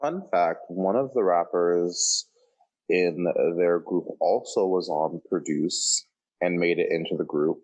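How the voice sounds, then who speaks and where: A man's voice: sits low, slow, a little mellow, rough recording. A man co-hosting a podcast in a casual, opinionated way, with campy, slangy talk. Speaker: podcast co-host.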